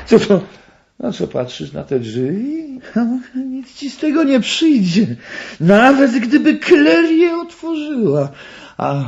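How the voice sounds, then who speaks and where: An actor playing a deranged, gloating murderer in a radio play, theatrical, drawn-out and erratic in his delivery. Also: extremely high pitch, creaky, highs cut off, exaggerated voice. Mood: taunting. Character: deranged, gloating male murderer, obsessed with knives.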